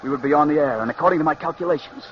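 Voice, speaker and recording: A man's voice: on the high side, very fast, a little hiss or hum, natural voice. Man acting vengeful radio writer, muffled vintage recording. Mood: coolly calculating. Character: bitter, gloating radio writer poisoner, later broken-minded. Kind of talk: 1940s radio drama acting.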